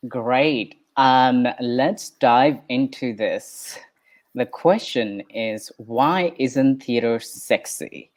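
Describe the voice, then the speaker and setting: Host, theatre maker, mid pitch, brisk online panel opener. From southwestern Western Australia. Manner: brisk, upbeat host with fillers.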